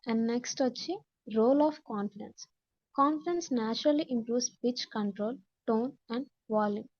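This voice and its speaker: Female Telugu-accented instructor, lightly high and very relaxed tone, point-by-point lesson delivery with repeated explanation. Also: very slow, muffled recording. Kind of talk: slide-based lesson, explaining in Telugu and English.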